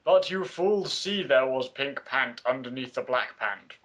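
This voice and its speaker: A man's voice: medium pitch, expressive, measured pace, fairly bright tone, rough recording. A man doing a dramatic read-aloud in a game among friends, stumbling over words as he goes. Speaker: player in a dramatic reading game. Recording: faint noise and clicks.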